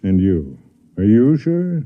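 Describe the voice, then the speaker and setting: An actor playing a kindly, self-deprecating, quietly lovelorn man who also narrates, in a vintage radio drama. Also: fairly low pitch, sing-song, slow pace. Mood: casual, probing. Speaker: first-person narrator and lead. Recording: dull vintage radio recording.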